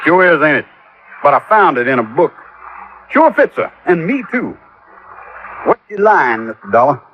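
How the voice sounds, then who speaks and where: A chatty, rustic boat repairman from a vintage radio play, with a Southern drawl. His voice sits high and rises and falls animatedly as he talks in a proud, plainspoken way. The old recording is dull, with noise behind him. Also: very animated, moderate pace. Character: folksy, talkative waterfront boat-repair man, proud and plainspoken. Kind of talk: 1950s American radio drama acting.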